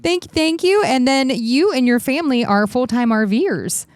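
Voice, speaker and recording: A woman's voice: high voice, animated, normal speed, dry recording, studio quality. A woman co-hosting a casual travel podcast, bubbly and chatty, laughing often. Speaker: podcast co-host. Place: home theater room.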